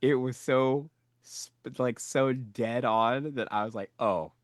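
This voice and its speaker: A man with an average, middle-pitched voice, talking eagerly in a casual podcast chat. He laughs easily and rambles a bit as he explains. The recording is dry and close. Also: fairly even intonation, slow, dry recording. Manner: eager and chatty, laughs often. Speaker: podcast co-host.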